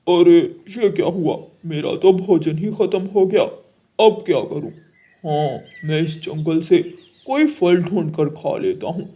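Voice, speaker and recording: A performer voicing a treacherous, greedy male crow in a children's cartoon, with a husky voice and an exaggerated delivery. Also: mid-range voice, measured pace, dark tone, natural voice. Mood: fretful. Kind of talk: Hindi children's cartoon acting.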